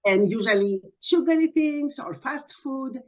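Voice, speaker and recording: A woman's voice: high-pitched, lively intonation, measured pace. A woman in her sixties from Greece, a guest hypnotherapist chatting and telling her story in a podcast interview. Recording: muffled lo-fi remote audio.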